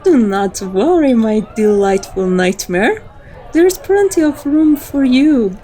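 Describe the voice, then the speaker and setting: A woman's voice: fairly high pitch, big pitch swings, slow pace, warm tone. A woman playing a playful, seductive vampire in an ASMR roleplay, with a teasing, coaxing and intimate manner. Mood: playfully assured. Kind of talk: scripted ASMR roleplay acting.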